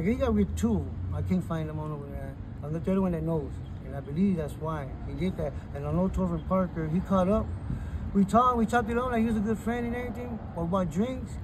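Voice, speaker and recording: A middle-aged man telling his story in a casual street interview, recorded outdoors beside a chain-link fence with a phone camera. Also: high-pitched. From Texas. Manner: animated storytelling with hand gestures. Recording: outdoor street noise with passing cars. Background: traffic and street ambience.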